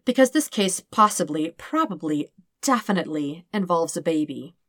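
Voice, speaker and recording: A woman voicing a fussy, excitable male detective who gets defensive and halting in an audiobook story. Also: lowish voice, dry recording, studio quality. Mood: hesitant. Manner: indignant, halting, emphatic. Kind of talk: acted dialogue in fan fiction audiobook.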